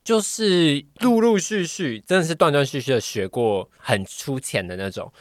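A man's voice: on the high side, normal speed, dry recording, studio quality. A young man with a Taiwanese Mandarin accent, chatting casually as an interview guest in a hesitant, modest way. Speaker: podcast guest, trainee voice actor.

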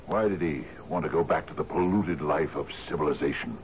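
An actor playing an aging, gruff sea captain in a 1970s radio drama, commanding yet lonely, halting in his speech. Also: a bass voice. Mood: wistful, lonely. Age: older. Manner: gruff, halting, swings from muttering to shouting. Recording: dull, noisy 1970s radio broadcast.

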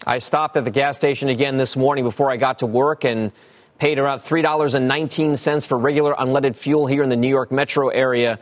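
A man's voice: mid-range voice, fairly even intonation, brisk. A man with an American accent anchoring a live business news broadcast, presenting and putting questions to guests.

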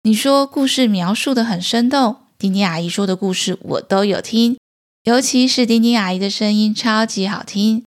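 一位女性儿童播客主持人，面向小朋友说话，讲解清楚易懂。. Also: female voice, medium pitch, moderate pace. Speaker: children's podcast host. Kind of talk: addressing young listeners, explanatory.

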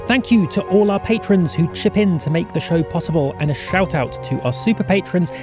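Lively high-voiced man announcing credits. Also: brisk, over music. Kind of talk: scripted credits reading.

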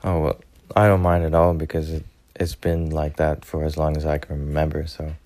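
A man's voice: a bass voice, completely flat delivery, moderate pace, dark tone, no room sound. A young man answering questions casually in an interview.

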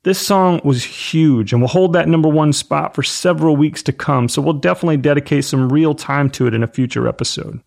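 A man's voice: fairly high pitch, fairly quick, gravelly, few pauses, a little mellow. A man of about forty, an enthusiastic podcast host talking about pop culture. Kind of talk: scripted narration and casual chat about pop culture.

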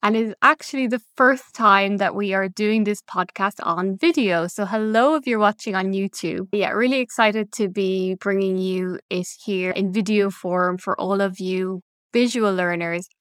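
A woman's voice: medium pitch, a little slow, hardly any pauses, fairly bright tone, smooth, no room sound, clean studio sound. A woman from Ireland, an English teacher hosting her podcast in an upbeat, encouraging, conversational way. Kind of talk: casual solo podcast chat.

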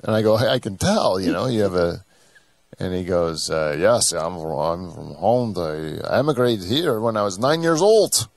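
A man hosting a comedy podcast, chatting casually with dry, deadpan jokes close to the mic. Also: sits low, moderate pace, dry recording. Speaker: podcast host.

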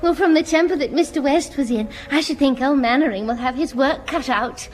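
Very high-pitched, excitable Englishwoman acted. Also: fairly quick, lightly acted. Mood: chatty. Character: excitable, friendly female bank clerk. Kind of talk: radio sitcom acting.

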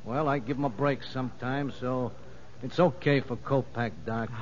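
A man's voice: medium pitch, steady tone, normal speed, lightly acted. An actor playing a menacing, boastful convict gang boss in a 1950s radio drama, bullying in tough-guy slang. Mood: bossy. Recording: muffled, reverberant vintage radio broadcast.

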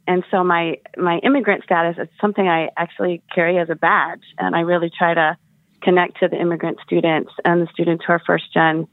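A woman's voice: lowish voice, dry recording. A woman in her forties, a professor calling in, speaking in a reflective, articulate way. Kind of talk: phone-in testimony. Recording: phone line.

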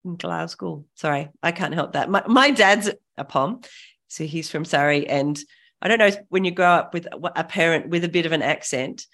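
The giggly, chatty woman with the lowish voice who hosts an upbeat interview podcast you'd play on a morning jog. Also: animated, normal speed, fairly bright tone. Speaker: podcast host. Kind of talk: upbeat casual interview chat.

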